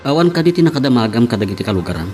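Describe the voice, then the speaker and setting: Serious male boss played by a man, faint rumble. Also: sing-song, very fast, a little mellow, close to an everyday voice. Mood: concerned. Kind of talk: Ilocano radio drama acting.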